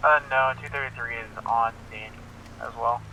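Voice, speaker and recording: A man's voice: mid-range voice, fairly even intonation, moderate pace, vocal fry, sharp tone, slight background noise, low-quality recording. A man roleplaying an officer making hesitant, matter-of-fact procedural calls over police radio.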